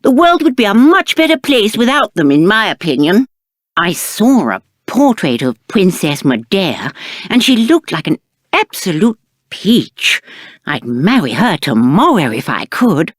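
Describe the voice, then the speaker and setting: A man's voice: sits high, big pitch swings, measured pace, dry recording, studio quality, heavily acted. A British man playing a spoiled, cowardly young prince in a video game, with a pompous, petulant and theatrical delivery. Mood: disgusted, whiny, then self-pitying, whiny. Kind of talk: video game character acting.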